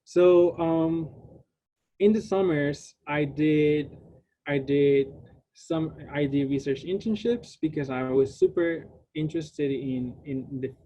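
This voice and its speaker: A man's voice: on the high side, takes it slowly, dark tone, poor audio. A young man from Puerto Rico whose first language is Spanish, giving an online science talk with many fillers and restarts. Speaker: graduate student speaker. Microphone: video call.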